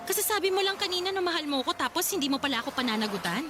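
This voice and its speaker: A high-voiced pregnant young woman. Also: lively intonation, very fast, over music. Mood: hurt. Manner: emotional, pleading, tearful at times. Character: young pregnant woman pleading with her lover. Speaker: voice actor. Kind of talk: radio drama acting.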